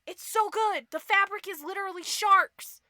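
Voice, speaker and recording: A woman's voice: extremely high pitch, moderate pace, pushed and bright, no room sound. A woman co-hosting a podcast with casual comedic banter, playful, exclamatory and freely swearing. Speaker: podcast co-host.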